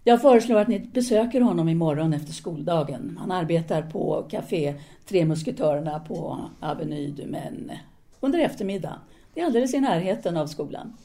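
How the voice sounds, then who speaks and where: A woman's voice: lowish voice, lively intonation, dark tone. A woman playing a composed, businesslike teacher and writer in an audio drama, speaking formally and decisively. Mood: composed, probing.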